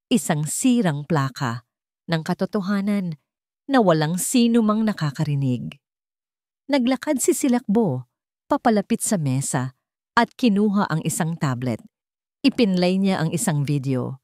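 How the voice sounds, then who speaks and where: A woman's voice: mid-range voice, a little mellow, no echo, studio quality. A woman reading a scripted romantic drama as a storyteller, in dramatic narration.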